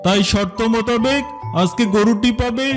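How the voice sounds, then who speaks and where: Man voicing a high-pitched, stern cartoon lion king. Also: moderate pace, over music. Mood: formal, firm. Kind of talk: children's cartoon voice acting.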